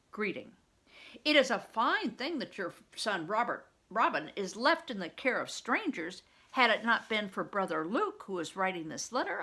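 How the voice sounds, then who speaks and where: Woman reading as an earnest boy, creaky-voiced. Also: medium pitch, sharp tone, full character voice. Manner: earnest, old-fashioned formal phrasing. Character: earnest young boy, eager bedridden pupil.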